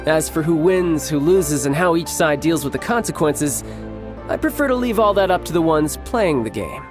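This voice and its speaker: A man voicing a cocky, playful young warrior and doting big brother in a video game, smooth-talking and theatrical. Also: on the high side, animated, normal speed, close to an everyday voice. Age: young adult. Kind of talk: video game character acting. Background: music.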